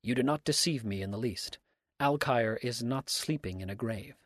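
A grave, unshakeable accuser from a storybook, read by a man. He is solemn and deliberate, with complete certainty, in a dry, close recording. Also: medium pitch, a bit bright, dry recording, natural voice. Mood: stern, probing. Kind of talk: dramatic storybook reading.

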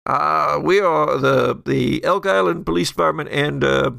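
Gravelly man narrating a horror game. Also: fairly high pitch, measured pace, pushed and bright, no room sound, clean studio sound. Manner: descriptive scene-setting in broken phrases. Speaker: game master. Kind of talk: narrating an actual-play horror tabletop game.

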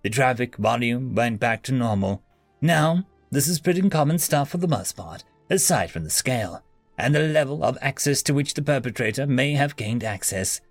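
A man's voice: medium pitch, expressive, normal speed, no room sound, soft background music. A man voicing a stern, businesslike interrogator in an audio story, speaking formally and without yielding. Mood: stern, grave. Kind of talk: acted story dialogue.

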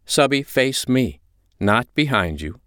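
A man's voice: mid-range voice, sing-song, takes it slowly, fairly bright tone, smooth, no room sound, studio quality, pitched above the performer's own voice. A man voicing a firm, commanding but reassuring mistress in an audiobook story. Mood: firm, instructing. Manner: firm, instructing, soothing. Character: commanding, reassuring adult woman, a dominatrix mistress.

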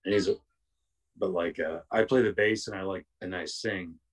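A young man with a raspy voice, chatting casually as a podcast guest, rambling with lots of fillers and restarts. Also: deep voice, normal speed, distant mic. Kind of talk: casual chat.

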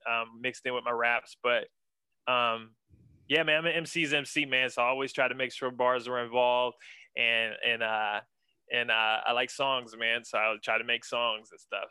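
Young male guest, bright and crisp, casual slangy chatter, enthusiastic. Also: mid-range voice, no echo. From western Pennsylvania. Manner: laid-back, slangy, enthusiastic, says man a lot. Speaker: guest, senior art director. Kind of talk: casual interview chat.

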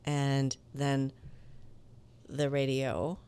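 A woman voice actor with a low, smooth voice that carries some authority, telling stories from her career in an interview and acting out the dialogue. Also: extremely slow. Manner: animated, tells stories with quoted dialogue. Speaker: voice actor guest. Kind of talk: conversational interview storytelling.

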